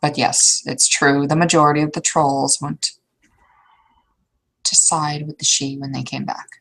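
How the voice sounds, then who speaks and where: Blunt, world-weary troll woman, played by a woman, lowish voice. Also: flat delivery, a little slow, gravelly, fairly bright tone, heavily acted, poor audio. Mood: frank. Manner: casual, blunt, swearing. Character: blunt, world-weary, kindly female troll named Agnes, construction worker.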